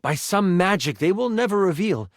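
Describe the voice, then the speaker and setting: A man voicing an earnest, excitable, nerdy game master in a video game, with a theatrical tabletop narrating manner. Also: high voice, moderate pace, dry recording, studio quality. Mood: dramatic. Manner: theatrical, earnest, awkwardly gushing.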